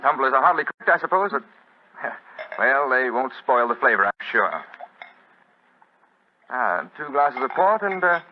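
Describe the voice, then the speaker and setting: An actor playing a devious English expatriate in a 1940s radio drama, affecting nonchalance with a hesitant, excitable manner. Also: male voice, medium pitch, unhurried, pauses often, a little hiss or hum. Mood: nervous nonchalance. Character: smooth, devious English expatriate deserter posing as an heir. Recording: muffled old radio recording.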